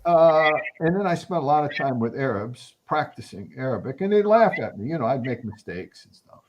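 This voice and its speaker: Older male guest, reflective and halting, animated pitch, faint background hiss. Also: lo-fi sound. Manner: thoughtful, hesitant, many restarts and fillers. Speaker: guest anthropologist. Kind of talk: reflective conversational interview answers.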